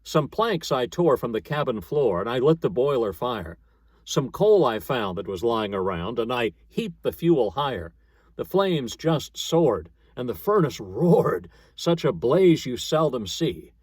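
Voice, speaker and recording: A man narrates a dramatic poem at a slow, measured pace. His voice is somewhat husky, recorded dry without room sound. The delivery is rhythmic, in the manner of a storyteller. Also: mid-range voice, very animated, a little mellow, no echo. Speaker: narrator, poetry reader. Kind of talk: dramatic narrative poetry reading.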